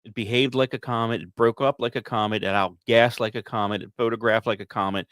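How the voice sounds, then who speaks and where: A man's voice: lowish voice, steady tone, fairly quick, fairly bright tone, clean tone, dry recording, clean studio sound. A man hosting a science podcast, delivering semi-scripted commentary with wry asides and the odd stumbled, repeated word.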